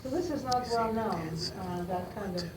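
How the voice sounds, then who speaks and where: An older Austrian woman with German as her first language talks to students, pausing often with fillers and restarting her sentences. She sounds set back from the mic, in an echoey room with steady noise around her. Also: mid-range voice. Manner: halting, many fillers and restarts. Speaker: guest speaker to students. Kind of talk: classroom talk. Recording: roomy, distant, noisy room.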